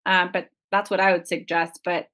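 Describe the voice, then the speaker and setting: A woman's voice: on the low side, level tone, moderate pace, bright, forceful tone. A woman guest on a podcast, talking frankly and earnestly about cooking with a friend. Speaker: guest, TV presenter. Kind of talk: casual interview chat.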